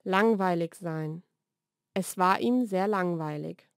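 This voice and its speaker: A woman reads short scripted vocabulary lesson sentences in a clearly enunciated, measured way. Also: fairly low pitch, dry recording, clean studio sound. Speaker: language lesson narrator. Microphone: studio voice-over recording.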